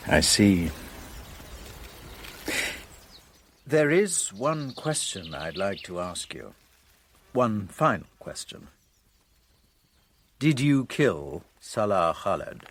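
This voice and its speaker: An actor in a radio drama playing a composed, skeptical interrogator, speaking in a deliberate, dry and pointed way. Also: male voice, fairly low pitch, big pitch swings, breathy edge, exaggerated voice. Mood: probing. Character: probing, skeptical, composed male interrogator and narrator.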